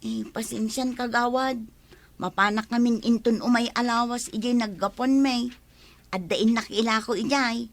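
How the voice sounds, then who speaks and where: A woman's voice: on the high side, moderate pace, natural voice. A woman acting a meek, apologetic newcomer to the village, with an Ilocano accent, in a comedy radio drama. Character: apologetic young newcomer woman asking for credit.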